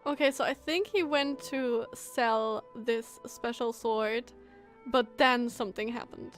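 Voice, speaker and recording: Girl streamer w a high voice doing casual game commentary, feels for the characters, bit of music in the back. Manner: empathetic, thinking aloud.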